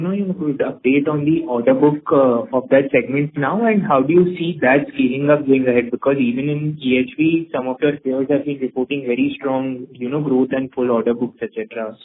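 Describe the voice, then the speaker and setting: A man's voice: medium pitch, slight background noise. A man with an Indian accent putting polite, structured questions as an analyst on an earnings call. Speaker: financial analyst asking questions. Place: conference call. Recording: narrowband phone conference line.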